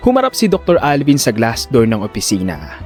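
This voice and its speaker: Male drama narrator over soft music. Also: medium pitch, huge pitch swings, brisk. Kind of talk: dramatic scripted story narration.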